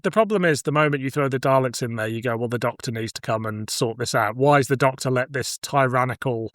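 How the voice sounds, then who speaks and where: A man hosts a casual podcast chat in a medium-pitched voice. He is conversational and wryly joking, and the recording is close and dry, free of room echo. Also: fast, fairly bright tone. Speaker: podcast host.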